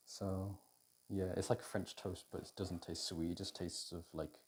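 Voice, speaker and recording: A British man in an intimate, casual ASMR roleplay as a boyfriend cooking, talking in an unhurried, hesitant way as if thinking aloud. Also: low voice, little pitch movement, husky. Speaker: audio roleplay performer playing a boyfriend cooking. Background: kitchen food preparation sounds.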